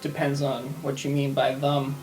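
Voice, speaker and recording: A man playing a laconic, guarded mercenary assassin in a tabletop game, with a deadpan manner. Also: mid-range voice, moderate pace, faint music behind, lightly acted, low-quality recording. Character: laconic mercenary assassin who enjoys killing.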